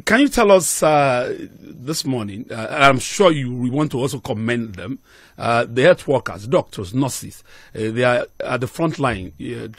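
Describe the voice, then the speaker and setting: A man's voice: medium pitch, expressive, unhurried, no room sound. A middle-aged Nigerian man hosting a live radio news interview, speaking into a close studio microphone. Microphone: close studio microphone on boom.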